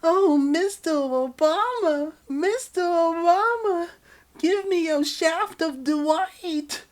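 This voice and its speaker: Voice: very high-pitched, slow, voice pushed up. A performer voicing a breathless, adoring lover with gushing, exaggerated delivery in a comic erotic audio story. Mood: overexcited. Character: breathless, adoring lover in a squeaky cutesy falsetto.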